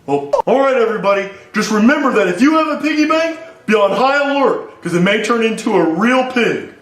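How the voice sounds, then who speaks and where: Man playing a very high-pitched excitable news anchor. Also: a little fast. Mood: mock urgent. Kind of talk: parody news bulletin. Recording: roomy echo with faint music.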